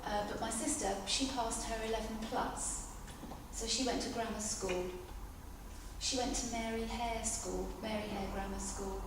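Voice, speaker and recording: A woman voicing a deaf signer's words in the first person, speaking haltingly with frequent restarts and self-corrections. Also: mid-range voice. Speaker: sign language interpreter voicing a deaf signer. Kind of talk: live spoken interpretation of a signed interview. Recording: echoey room, distant mic, background noise.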